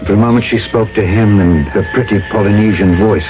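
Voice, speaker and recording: Actor as a low-voiced, plainspoken trader. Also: male voice, fairly quick, background noise, lightly acted. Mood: reflective. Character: plainspoken male trader narrating his South Seas tale. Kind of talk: radio drama narration and dialogue. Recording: dull old radio recording. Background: music.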